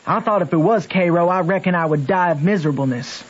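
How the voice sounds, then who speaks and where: An earnest backwoods boy with a high voice and a Southern American drawl. He talks in folksy, rustic grammar as he narrates and acts in a radio play, wrestling with his conscience. The sound is a dull old radio recording. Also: fast talker. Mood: troubled. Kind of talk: old-time radio drama, first-person narration and dialogue.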